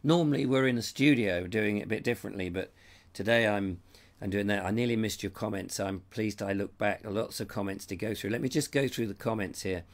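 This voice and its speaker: A man's voice: on the low side, fairly quick. A middle-aged English man hosting a live stream, chatty and rambling, his voice strained by a cold and fading out. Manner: rambling, chatty, reads comments aloud. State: has a cold, losing his voice. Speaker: show host. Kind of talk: live-stream chat reading viewer comments. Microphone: lapel mic.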